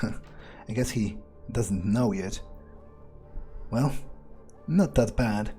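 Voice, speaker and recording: A man playing a game in character, thinking aloud in a halting, musing way with casual swearing. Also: fairly low pitch, dark tone. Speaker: let's player speaking in character. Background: game music.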